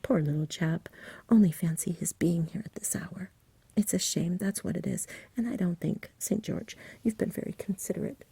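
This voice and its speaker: A woman voicing a big, jolly, lazy male dragon who murmurs in short clipped phrases, in a soft whispered storybook reading. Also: on the low side, normal speed, vocal fry, husky, dry recording, heavily acted. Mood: blustering, fussy.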